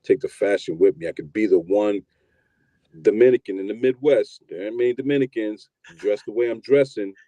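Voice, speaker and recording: Low-voiced laid-back New York man. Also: brisk, dry recording, poor audio. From New York, USA. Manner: relaxed, rambling, repeats words at starts. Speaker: guest, producer and DJ. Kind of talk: casual interview storytelling.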